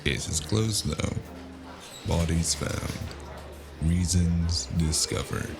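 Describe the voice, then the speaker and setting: A man playing a weary, cynical private detective in a brooding, deliberate noir audio drama monologue. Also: low voice. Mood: grim, weary. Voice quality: gravelly. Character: weary, cynical hard-drinking male private detective in a gritty city. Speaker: audio drama narrator and lead actor. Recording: music underneath. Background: music.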